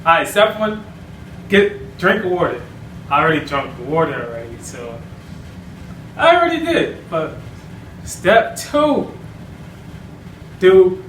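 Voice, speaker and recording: A man's voice: high voice, fairly bright tone. A man hosting a casual how-to video, rambling with restarts, recorded in an echoey room from a distance with faint hiss. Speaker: YouTuber.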